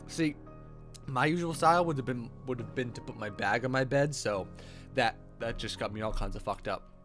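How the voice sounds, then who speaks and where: A man's voice: medium pitch, moderate pace, fairly bright tone. A man reading visual novel text aloud as a streamer, dropping in wry, joking, sweary casual asides. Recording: background music under voice. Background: music with guitar.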